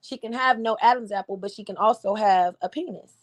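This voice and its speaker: A woman with a slightly raspy voice hosting a casual livestream, giving blunt, animated commentary with frequent laughs. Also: medium pitch, brisk. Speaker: livestream host.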